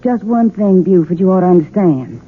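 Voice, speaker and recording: An actress playing a proud, bitter and demanding Southern wife in a 1970s radio drama. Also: female voice, lowish voice, lively intonation, moderate pace, slightly rough. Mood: cold, bitter. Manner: imperious, dramatic. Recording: phone-like 1970s radio broadcast recording.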